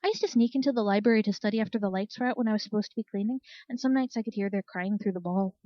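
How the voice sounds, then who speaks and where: A woman voicing a kind, steady young female earth pony in a storybook reading, gentle and earnest. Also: medium pitch, very fast, no echo, muffled recording, natural voice. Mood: reassuring. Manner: soft, earnest.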